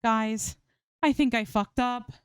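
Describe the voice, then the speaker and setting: Bubbly, nervy young female streamer, played by a woman narrator, pitched up high, scattered delivery, storybook reading. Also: fairly even intonation, takes it slowly, smooth, no echo, clean studio sound. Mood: anxious, apologetic.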